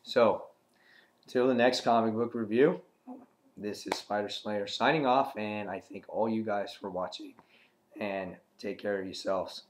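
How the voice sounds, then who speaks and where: Raspy-voiced man rambling about comics. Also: lowish voice, steady tone, measured pace, a little hiss or hum. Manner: enthusiastic, rambling with many fillers and restarts. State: recovering from the flu. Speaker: comic review vlogger. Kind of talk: casual review monologue.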